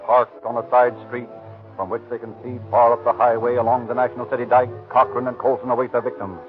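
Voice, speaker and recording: A man's voice: medium pitch, flat delivery, quick pace, narrow, tinny audio, soft background music. A man with an American accent giving formal, dramatic scripted narration in the style of 1930s radio. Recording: thin, band-limited 1930s radio broadcast.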